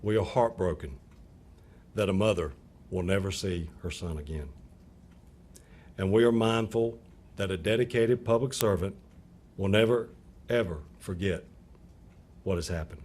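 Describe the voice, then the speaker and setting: Deep-voiced man making a solemn statement. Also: a little mellow. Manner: measured, solemn. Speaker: official giving a statement. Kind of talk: formal public statement.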